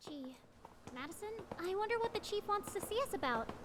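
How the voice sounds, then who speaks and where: A woman's voice: extremely high pitch, lively intonation, fairly quick, background noise. An actress playing an eager, naive young rookie officer in an audio drama, bubbly, girlish and inquisitive. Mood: perky. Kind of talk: cartoonish audio drama acting.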